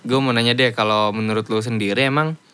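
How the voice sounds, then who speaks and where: A man's voice: medium pitch, steady tone, brisk, sharp tone, clean tone. A young man, hosting a casual podcast chat, slangy and swearing freely as he asks questions. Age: young adult.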